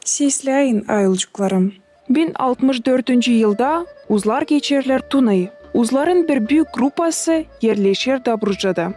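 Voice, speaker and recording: Animated teacher: kindly, composed, mellow tone, addressing young pupils. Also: female voice, a little high, a little slow, faint music behind. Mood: instructive. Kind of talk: cartoon lesson narration.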